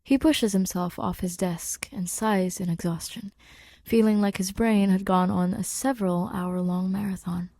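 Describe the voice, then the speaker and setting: A woman's voice: mid-range voice, fairly even intonation, moderate pace, mellow, no echo. A woman narrating a scripted story as a podfic reader, in a conversational, wry way.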